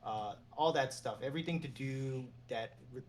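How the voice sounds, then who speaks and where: A man from New York City talks things through in a loose, easygoing way, circling back on his thoughts and chuckling now and then. His voice sits at an ordinary middle pitch. A faint hum of noise runs underneath. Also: normal speed. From New York City, USA. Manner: relaxed, meandering, chuckles while talking. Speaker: esports agent and former team general manager, guest. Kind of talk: casual interview conversation.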